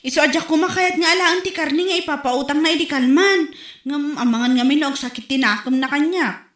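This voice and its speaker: A woman's voice: high-pitched, fairly quick, a bit bright. An actress playing a chatty, gossiping neighbour woman in a comedy audio drama, speaking with an Ilocano accent from the northern Philippines. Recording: echoey room.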